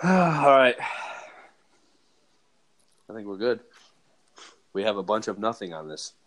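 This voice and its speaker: A young man hosting a podcast, speaking briskly and joking with friends. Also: on the low side, fairly bright tone. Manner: brisk and joking, swears casually. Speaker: podcast host. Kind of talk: casual chat.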